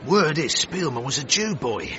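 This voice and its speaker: A man's voice: a little high, sing-song, moderate pace, pushed and bright. An actor playing a blunt, cheeky, slangy man with a working-class English accent. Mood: blunt, knowing. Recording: muffled band-limited sound, faint noise.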